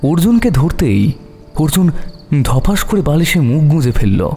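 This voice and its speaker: Casting: male horror narrator, suspenseful and animated, mellow tone, long reverb, soft music and crickets behind. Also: fairly high pitch. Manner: suspenseful, with dramatic pauses. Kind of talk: dramatic horror story narration.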